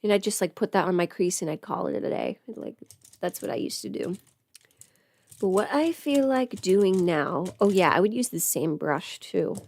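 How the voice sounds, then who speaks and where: A woman's voice: mid-range voice, animated, normal speed, creaky, a little mellow. A woman livestreaming in casual, rambling chat as she does her makeup, informal and mildly sweary. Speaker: livestream host.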